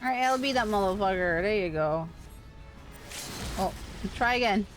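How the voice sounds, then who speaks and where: A woman's voice: medium pitch, lively intonation, moderate pace, faint music behind. A woman livestreaming a game, giving casual commentary with sweary, exasperated venting. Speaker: livestreamer playing an online game. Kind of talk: casual gaming stream commentary.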